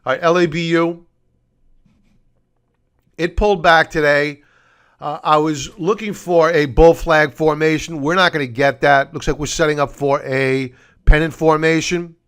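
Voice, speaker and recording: A hoarse, middle-aged man, losing his voice, hosting a solo stock-market recap over charts and walking viewers through his reasoning. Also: fairly high pitch, fairly even intonation, fairly bright tone. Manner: deliberate, explanatory, addresses viewers as folks. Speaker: market commentary host.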